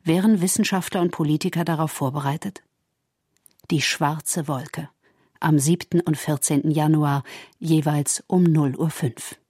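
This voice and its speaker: Female radio presenter, scripted preview, low pitch, very dry studio sound. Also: fairly even intonation, unhurried, dark tone, slightly rough, no echo. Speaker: radio presenter announcing upcoming programmes.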